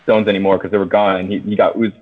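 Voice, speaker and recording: A man's voice: sits low, little pitch movement, fast talker. Casual slangy man, tinny phone-like sound. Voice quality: slangy, profane. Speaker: podcast host. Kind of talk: casual chat, film discussion.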